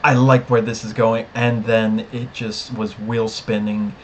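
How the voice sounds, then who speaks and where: A man's voice: fairly low pitch, little pitch movement, unhurried. A man co-hosting a podcast, giving opinionated, emphatic fan commentary in a casual review chat. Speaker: podcast co-host. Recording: clear background noise.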